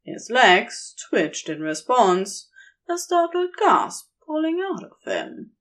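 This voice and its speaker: A woman's voice: on the high side, sing-song, slow pace, a bit bright. A woman narrating scripted romantic fan fiction in an intimate storytelling style.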